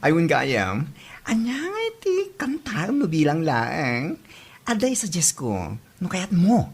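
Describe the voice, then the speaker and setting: A woman playing a pushy, encouraging best friend in an Ilocano comedy drama, with a husky voice. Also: fairly low pitch, huge pitch swings, takes it slowly, a little mellow, exaggerated voice. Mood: teasing.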